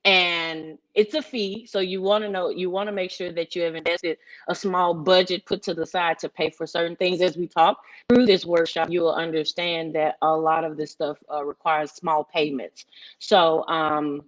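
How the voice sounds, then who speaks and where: A woman's voice: fairly low pitch, flat delivery, normal speed, rough recording. A middle-aged woman, explaining things emphatically as a music executive on a workshop panel. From Memphis, Tennessee, United States. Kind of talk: informal workshop presentation.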